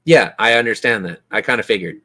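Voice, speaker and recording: A man's voice: lowish voice, fast talker, sharp tone. Man running a tabletop game. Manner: descriptive, vivid monster narration. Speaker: game master. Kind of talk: tabletop game narration and casual rulings.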